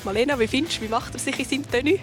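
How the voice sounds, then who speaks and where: A woman's voice: sits high, rapid-fire, over music. A woman with a Swiss German accent hosting a children's show in a chatty interviewing style. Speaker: host of a children's surprise show. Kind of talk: chatty presenting and interviewing for kids.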